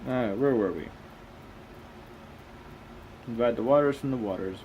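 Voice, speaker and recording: A man's voice: mid-range voice, normal speed, lo-fi sound, a little hiss or hum. A man reading aloud and commenting casually as a host, with a skeptical, questioning manner. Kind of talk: casual commentary between read-aloud passages.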